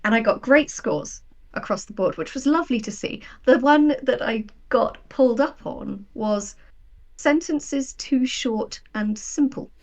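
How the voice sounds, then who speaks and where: A woman chatting casually as an interview guest, telling things in an animated, anecdotal way. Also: on the high side, a little slow. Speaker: guest, sci-fi writer.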